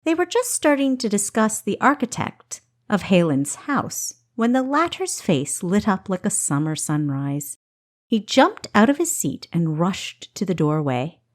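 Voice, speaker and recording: A woman reading a story as an audiobook narrator, with a deliberate, expressive storytelling delivery. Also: medium pitch. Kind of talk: expressive scripted fiction narration.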